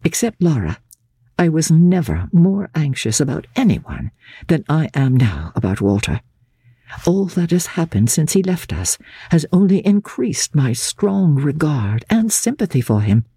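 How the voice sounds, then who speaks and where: A woman audiobook narrator with a dark, husky voice reads classic literature dramatically. Her delivery is formal and measured yet moves widely in pitch, following each sentence with expression. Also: very animated, dry recording, studio quality. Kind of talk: dramatic scripted narration of a classic diary novel.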